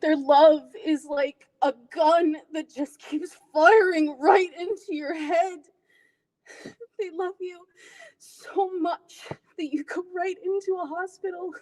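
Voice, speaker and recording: A woman performing a dramatic monologue as a wounded, bitter grown daughter confronting her mother, emotional and pausing deliberately. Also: extremely high pitch, fairly even intonation, darkish tone, voice pushed up. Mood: tearful, anguished. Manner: emotional, tearful, deliberate pauses.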